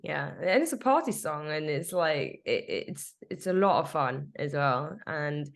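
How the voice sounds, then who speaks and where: A woman with a low, gravelly voice chats casually on a panel. She fills her talk with likes and ums. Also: lively intonation, a little slow. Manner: chatty, many fillers.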